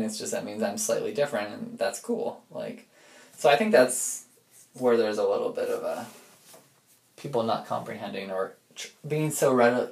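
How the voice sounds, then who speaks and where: A man's voice: lowish voice, vocal fry. A man speaking in a casual, rambling personal audio diary, reflective and informal, often feeling his way through a thought. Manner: informal, reflective, loses and regains his thread. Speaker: personal audio log diarist. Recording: echoey room.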